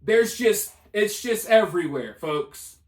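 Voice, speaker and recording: Male news commentator, high register, informal and speculative delivery, noticeably echoey sound. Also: lively intonation, slow. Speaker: video channel commentator. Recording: roomy, echoing voice.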